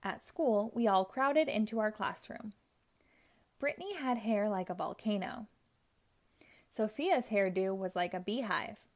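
A woman reading a children's picture book aloud, narrating in a gentle, expressive storytelling manner. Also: mid-range voice, unhurried. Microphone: audio only, close mic.

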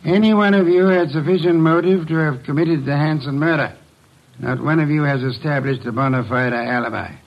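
An actor playing an imperious detective who speaks formally and precisely, in old-time radio mystery style. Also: male voice, fairly high pitch, steady tone, fairly quick, natural voice. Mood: stern, deliberate. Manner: commanding, formal, precise diction. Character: imperious, deliberate male detective, a mastermind unmasking a killer. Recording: muffled, dull old radio recording.

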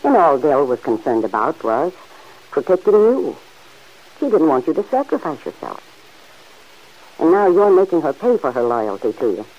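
A woman playing a kindly middle-aged farm aunt who gently chides the man in her home, in 1940s radio acting. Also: low voice, very animated. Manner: gently chiding, motherly, quick to laugh. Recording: dull old radio recording.

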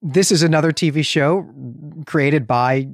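A man's voice: a little high, unhurried, dry recording. Chatty man with very dry studio sound. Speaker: podcast co-host. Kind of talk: casual literary discussion podcast.

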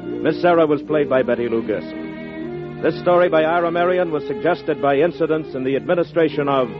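A man's voice: fairly high pitch, fairly quick. A man reading closing credits as a formal announcer in 1940s radio style. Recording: muffled old radio, music behind.

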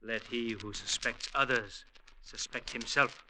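An actor playing a sly, grandly pious Indian village mayor in a classic radio drama, speaking in a measured, formal, archly knowing way. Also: male voice, medium pitch, fairly even intonation, close to an everyday voice. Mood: smooth, pious. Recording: noisy old radio recording.

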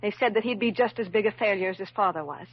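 An actress playing a worried, loyal young woman in a classic radio drama, with a husky voice and an earnest, emotional delivery. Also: mid-range voice, fast talker, dry recording, close to an everyday voice. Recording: muffled old radio recording.

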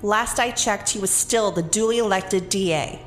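A woman's voice: mid-range voice, normal speed, soft background music, lightly acted. An actress playing a defiant, idealistic policewoman in an audio drama, with a pointed, sarcastic delivery. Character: defiant, idealistic policewoman, grown daughter of commissioner.

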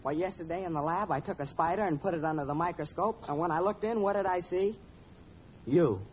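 A man's voice: high-pitched, fairly quick, close to an everyday voice. Man playing gawky lovesick student, gushing, melodramatic, 1940s radio comedy, muffled noisy recording. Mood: lovestruck. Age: young adult. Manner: gushing, overwrought. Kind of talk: 1940s radio sitcom acting.

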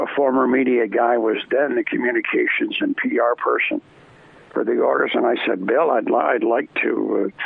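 A man's voice: mid-range voice, vocal fry, slightly rough, no echo. An older man from Canada, a former arena announcer, chatting as an interview guest, rambling with many restarts and fillers. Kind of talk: casual interview chat. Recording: tinny phone line.

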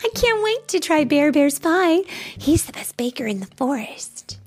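A woman's voice: sits high, big pitch swings, normal speed, darkish tone, pitched above the performer's own voice. A woman voicing a kind, encouraging female animal friend in a children's story, with an earnest, sing-song delivery. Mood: excited.

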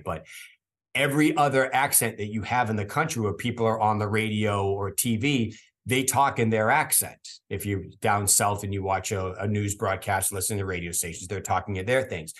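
Man, Boston accent, lower pitch, casual host, chatty, repeats words. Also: a bit bright. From Boston area. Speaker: podcast host. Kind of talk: casual interview chat.

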